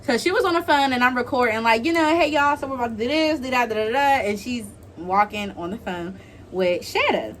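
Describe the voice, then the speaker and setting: A young American woman hosting a casual storytime vlog, talking in an animated, slangy, giggly way. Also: a little high, fairly quick, slight background noise. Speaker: vlog host.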